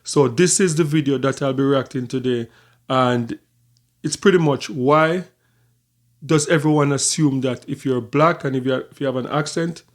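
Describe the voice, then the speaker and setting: A man hosting a reaction video, explaining in a measured, conversational way. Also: mid-range voice. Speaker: reaction channel host.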